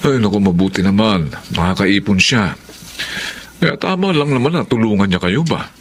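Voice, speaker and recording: An actor playing a blunt, doubting middle-aged Filipino uncle in a radio drama, speaking insistently. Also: male voice, fairly low pitch, expressive, normal speed, slight background noise. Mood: concerned, practical.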